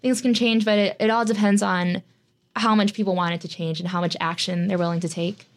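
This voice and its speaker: A woman's voice: mid-range voice, steady tone, a little fast. A young American woman, a student, answering interview questions in a chatty way with many filler words. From United States. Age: young adult. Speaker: student guest.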